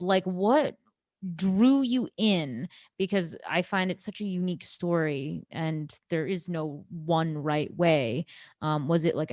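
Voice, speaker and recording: A woman's voice: mid-range voice, darkish tone, no room sound, studio quality. A young woman hosting a podcast, asking questions casually with plenty of likes and ums. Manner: chatty, with many likes and ums. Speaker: podcast host.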